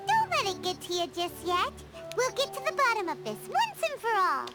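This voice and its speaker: A tiny, eager fairy sidekick, with a squeaky, extremely high voice that bounces around a lot. She sounds childlike and inquisitive, like a game character, over loud music. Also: wildly swooping pitch, moderate pace, heavily acted. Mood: earnest, eager. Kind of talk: video game voice acting.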